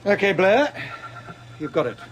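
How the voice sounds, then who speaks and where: A man's voice: sits high, sing-song, unhurried, faint music behind, poor audio. A man acting a terse, authoritative leader of a polar research station in an audio drama. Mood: measured.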